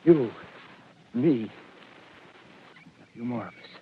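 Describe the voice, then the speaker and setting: A husky, scheming soldier. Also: male voice, mid-range voice, animated, slow, heavily acted. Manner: feverish, persuading, grandiose. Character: wild-eyed scheming male soldier, power-hungry. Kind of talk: 1930s radio drama acting. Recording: muffled old radio broadcast.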